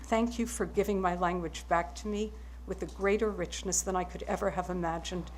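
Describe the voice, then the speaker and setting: A German-born woman with German as her first language, giving a measured, wry acceptance speech. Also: on the low side, steady tone, a little mellow, slight background noise.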